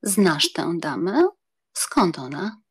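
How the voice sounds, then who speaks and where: An actress playing a teasing, pouty society countess with a flirtatious manner, in a dramatized audiobook. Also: female voice, lowish voice, big pitch swings, slow pace, breathy edge, heavily acted. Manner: flirtatious, teasing, French phrases.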